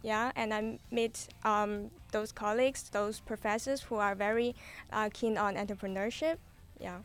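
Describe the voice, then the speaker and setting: A young woman, an exchange student guest on a radio interview, answering with hesitant pauses. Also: mid-range voice, fairly even intonation, soft background music. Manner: hesitant, fillers.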